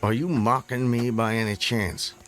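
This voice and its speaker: An actor playing a proud, fervent planter in a radio drama, formal and grandiloquent. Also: male voice, mid-range voice, fairly even intonation, normal speed, fairly bright tone, lightly acted. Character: proud, fervent Southern gentleman planter and husband.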